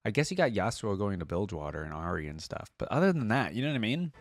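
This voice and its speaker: A man's voice: fairly low pitch, very animated, quick pace, faint music behind. A man giving casual reaction commentary on game voice lines as a host, musing aloud in loose, informal fragments.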